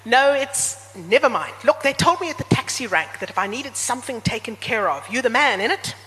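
A woman's voice: fairly high pitch, expressive, fairly bright tone, pitched above the performer's own voice. A woman voicing a jittery, shady businessman in a storybook reading, with a wobbly voice and a bad fake Cockney accent. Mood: anxious bluffing. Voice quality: quavering, wobbly. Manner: nervous, halting, blurting. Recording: echoey hall, background noise.

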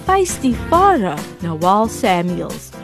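A woman's voice: mid-range voice, very animated, slow, creaky. A woman reading scripted radio drama credits as an announcer. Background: music.

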